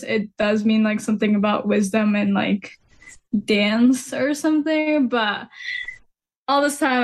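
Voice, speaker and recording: A young woman from Kenya, a student guest, talking casually and rambling as she thinks aloud, with lots of "like". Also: fairly high pitch. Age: young adult. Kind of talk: casual conversation.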